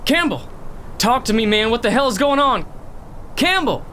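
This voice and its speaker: High-pitched panicking outlaw. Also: male voice, expressive, normal speed, background noise. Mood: alarmed. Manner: panicky, whimpering. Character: terrified, whining male outlaw prisoner, pleading. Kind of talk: western horror audio drama acting.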